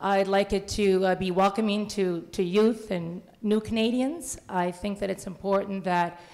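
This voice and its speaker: A woman in her forties speaking at a public meeting, quick, chatty and openly nervous. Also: medium pitch, fairly even intonation, a little mellow.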